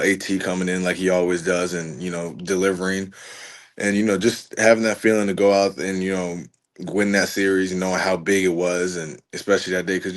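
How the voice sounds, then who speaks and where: A young man in his twenties, a college pitcher, talking casually as a podcast guest and filling his answers with "you know". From Richmond, Virginia. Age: 20s. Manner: relaxed, rambling, many "you know" fillers. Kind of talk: casual interview chat.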